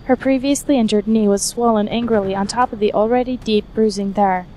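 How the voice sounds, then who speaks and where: A woman's voice: fairly high pitch, fairly even intonation, fairly quick, mellow, slight background noise. A woman reading scripted fiction aloud as the narrator of an action fantasy story.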